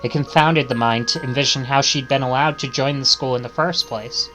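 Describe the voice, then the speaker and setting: Bright-voiced man reading game text aloud. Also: mid-range voice, steady tone, a little fast, soft background music, poor audio. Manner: stumbles and corrects himself while reading. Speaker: streamer playing a visual novel.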